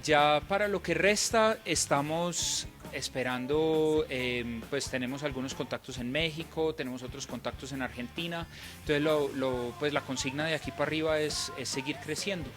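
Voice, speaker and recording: A man's voice: on the high side, normal speed, music in the background. A young man born in Scotland, a native English speaker, answering interview questions in a hesitant way, with many fillers and restarts. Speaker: band member.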